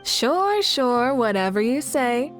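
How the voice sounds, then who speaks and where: A young female leader from a video game. She sounds composed and assured with a playful, wry streak, in a slightly high voice over faint music. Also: sing-song, heavily acted. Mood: brisk, amused. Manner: measured, assured, gently wry.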